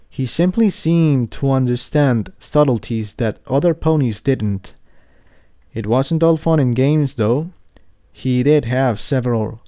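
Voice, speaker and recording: A man reading an adventure story aloud as narrator, in a measured, earnest way. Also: mid-range voice, warm tone, no room sound, clean studio sound. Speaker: amateur fan fiction reader.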